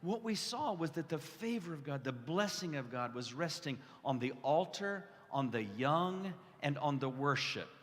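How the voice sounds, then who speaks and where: A man with a slightly high voice gives an earnest testimonial sermon. He talks conversationally, often restarting or repeating a phrase for weight. His words ring out with long reverb in a large hall. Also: lively intonation, moderate pace, hardly any pauses. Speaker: guest preacher. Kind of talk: testimonial sermon to a live gathering. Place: large indoor hall, addressing a gathering.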